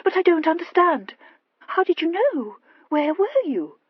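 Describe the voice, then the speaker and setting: A woman's voice: very high voice, big pitch swings, measured pace, no echo, lo-fi sound, voice pushed up, heavily acted. A woman voicing an impulsive, anxious young aristocratic wife who stammers and gushes earnestly in an audiobook story. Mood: astonished. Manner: hesitant, gushing confession with emphatic outbursts.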